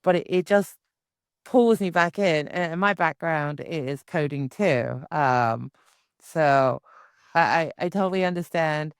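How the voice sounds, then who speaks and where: Woman hosting a podcast, lowish smooth voice, chatty, dry sound. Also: takes it slowly, no room sound. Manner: chatty, talks through ideas aloud. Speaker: podcast host. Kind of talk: casual interview chat.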